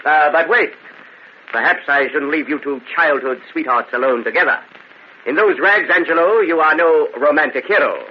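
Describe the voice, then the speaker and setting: An actor in an old-time radio drama playing a cruel, boastful, domineering rich Italian husband, sneering and theatrically mock-hearty. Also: male voice, a little high, moderate pace, husky. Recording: muffled, noisy old radio drama recording.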